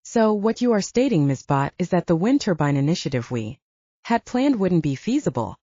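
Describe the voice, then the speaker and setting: A woman voicing a capable, businesslike female mayor with a formal, measured manner, in an audiobook. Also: on the low side, very animated, dark tone, dry recording, muffled recording. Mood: disappointed.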